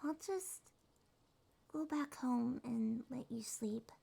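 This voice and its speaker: Woman acting as a shy sweet monster girl succubus, high gentle voice, slow n hesitant, soft breathy asmr roleplay. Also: full character voice. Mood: sheepish, soft. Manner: hesitant, gentle, slightly stammering.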